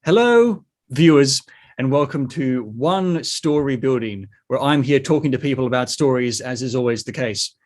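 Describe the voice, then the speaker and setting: Guy hosting a laid-out interview show, mid-pitched voice, chatty, pokes fun at himself. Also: expressive, normal speed, a little mellow. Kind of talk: casual hosted interview.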